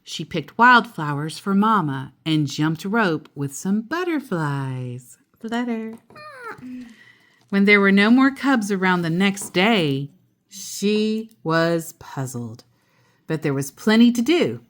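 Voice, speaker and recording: Woman slowly reading a storybook, very lively pitch. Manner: explains the story in simple asides. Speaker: storyteller reading a picture book to a child. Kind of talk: storybook read-aloud with asides to a child.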